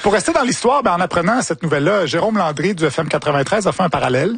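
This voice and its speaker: A man's voice: high-pitched, expressive, vocal fry, a bit bright, breathy edge, no echo. Joking Québécois man hosting a news roundup. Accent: Québécois French. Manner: joking, quick asides. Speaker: host.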